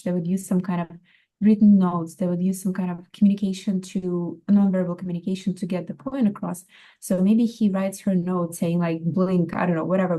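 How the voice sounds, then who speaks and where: A woman's voice: lowish voice, dark tone. A woman giving casual, supportive critique as a workshop participant, explaining her ideas through examples.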